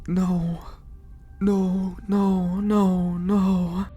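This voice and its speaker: A man's voice: high voice, flat delivery, extremely slow, warm tone, pitched above the performer's own voice, heavily acted. A man voicing a terrified female oracle who has become hysterical with fear and is sobbing her pleas. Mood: pleading whisper. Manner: shrieking, sobbing repeated pleas. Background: music.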